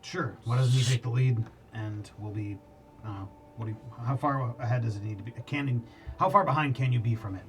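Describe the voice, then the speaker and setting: A man's voice: medium pitch, fairly quick, fairly bright tone, distant mic, soft background music. A man playing in a tabletop role-playing game, describing his character's actions in short, practical phrases. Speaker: player. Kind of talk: casual tabletop play.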